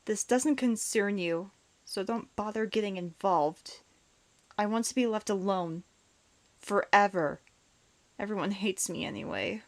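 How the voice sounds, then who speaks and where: A woman's voice: mid-range voice, a little slow, close to an everyday voice. A woman acting a bitter, despondent outcast in a comic dub, guarded, sullen and dismissive. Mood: bitter, weary.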